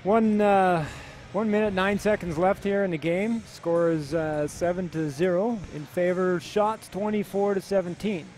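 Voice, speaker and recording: A man's voice: sits high, unhurried, hardly any pauses, slight background noise. Chatty Canadian man calling a hockey game. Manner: relaxed, chatty, many filler words. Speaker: play-by-play commentator. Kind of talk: amateur hockey commentary.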